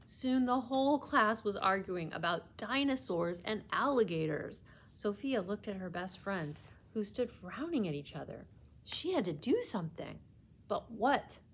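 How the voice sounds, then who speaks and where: A woman working as a library storyteller reads a children's book aloud, with deliberate, expressive phrasing meant for young listeners. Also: mid-range voice, slightly rough. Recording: faint background noise.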